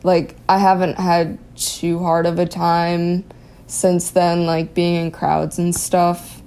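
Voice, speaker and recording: A young woman talking casually and reflectively in an interview, in a halting, hedging way. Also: on the low side, little pitch movement, warm tone, clear, resonant tone. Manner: halting, hedging with many likes. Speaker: interviewee in an audio documentary.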